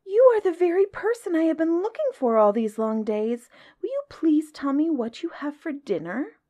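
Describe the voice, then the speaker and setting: A woman's voice: a little high, sing-song, moderate pace, voice pushed up. A woman voicing a very polite, curious young male elephant child in a storybook tale, earnest and plaintive. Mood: breathless, excited. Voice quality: nasal when nose is caught. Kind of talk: storybook character voice.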